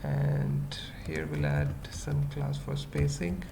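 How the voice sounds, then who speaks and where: A tutorial presenter thinking aloud in halting, murmured fragments during an informal coding screencast. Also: medium pitch, wildly swooping pitch, vocal fry, background noise. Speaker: web development tutorial presenter.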